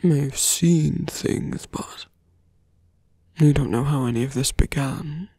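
Man acting a slow, breathy, seductive villain. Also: medium pitch, dark tone, dry recording. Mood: smug, persuasive. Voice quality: breathy, often whispering. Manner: slow, languid, taunting. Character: seductive, teasing fantasy villain man, smugly menacing. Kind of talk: ASMR roleplay voice acting.

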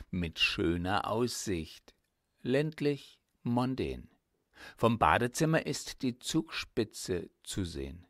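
A man reciting poetry expressively, in a deliberate, theatrical manner with dramatic pauses and shifting emphasis. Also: medium pitch, dry recording, studio quality. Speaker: audiobook reader of poetry.

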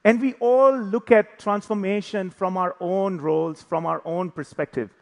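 A man's voice: sits high, steady tone, normal speed, vocal fry. A man, middle-aged, giving an informal, joking conference presentation from a stage. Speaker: conference speaker. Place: large indoor conference hall, on stage. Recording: hall reverb from a stage PA.

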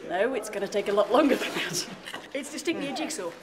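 Quick-talking woman, wry, fairly high voice, crowd noise around. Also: animated. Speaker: assistant monuments inspector. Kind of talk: casual interview.

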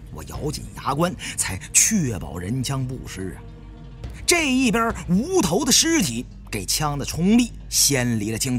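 Highly animated male audiobook narrator. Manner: theatrical, suspenseful. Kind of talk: dramatic first-person adventure narration.

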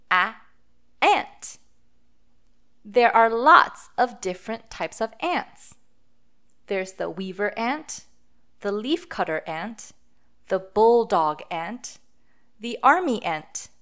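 A woman hosting a children's phonics read-aloud, speaking deliberately and sounding out letters and words for young listeners. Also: mid-range voice, fairly bright tone.

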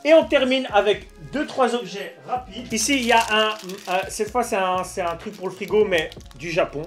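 High-pitched young man, casual vlogger. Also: normal speed. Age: young adult. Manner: informal, slangy. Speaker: YouTube host unboxing souvenirs. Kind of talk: casual unboxing vlog. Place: small dim room at home, indoors. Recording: music playing under the voice. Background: music.

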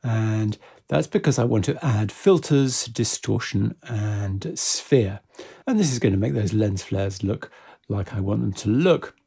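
A man giving an unscripted software tutorial, methodically explaining each step as he works, in a gravelly, husky voice. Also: on the low side, expressive, unhurried.

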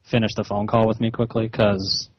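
Low, husky man on the phone. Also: level tone, no room sound. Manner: measured, hesitant, insistent. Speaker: caller. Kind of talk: slow, halting phone conversation. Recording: slightly muffled phone call. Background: phone call recording.

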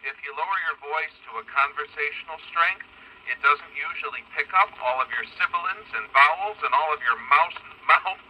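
An antique wax cylinder playback with faint surface noise and a very sharp, tinny tone. Through the horn, a man speaks in a high, projected voice, deliberately announcing a test recording. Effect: played back from a wax cylinder through a horn.

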